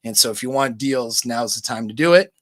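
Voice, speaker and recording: A man giving relaxed, chatty dating-coach advice on a livestream, speaking close to a microphone. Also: brisk, no room sound. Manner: demonstrates voice pitch changes, relaxed and chatty. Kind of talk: casual livestream coaching talk.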